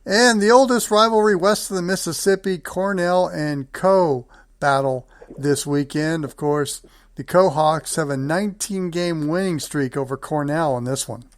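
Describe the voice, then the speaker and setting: A man's voice: fairly high pitch, a little slow, no room sound. A man hosting a sports show, running through matchups and results in conversation with a guest.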